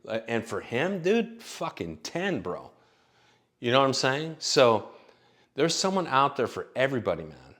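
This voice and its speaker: A man's voice: medium pitch, very animated, a little slow, fairly bright tone. A man in his late thirties telling stories in a rambling, profane, casual monologue full of fillers. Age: late 30s. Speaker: vlogger.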